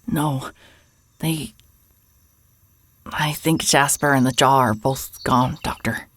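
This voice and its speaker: Hushed, husky, hesitant woman. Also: extremely low pitch, fairly even intonation, exaggerated voice. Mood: hesitant, sorrowful. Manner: hesitant, subdued, halting. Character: weary, guarded, apologetic woman, quietly grieving. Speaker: actor in an audio drama. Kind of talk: audio drama acting.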